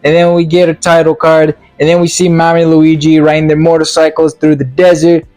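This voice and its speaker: A man giving a casual, opinionated movie review as a video commentator, in a halting, repetitive, slangy way. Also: a little high, completely flat delivery, darkish tone, faint music behind.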